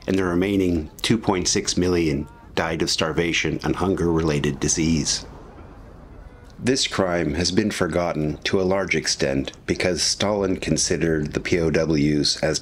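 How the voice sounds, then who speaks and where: A man with a low voice reads historical documentary narration. His delivery is measured and serious. Ambient vehicle noise runs behind him. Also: fairly even intonation.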